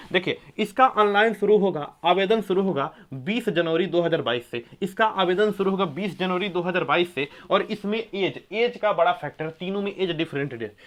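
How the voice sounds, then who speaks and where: A man teaching an exam coaching class, emphatically repeating key points and putting quiz-like questions to his listeners. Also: high voice. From India. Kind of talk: coaching lecture explaining exam eligibility and syllabus.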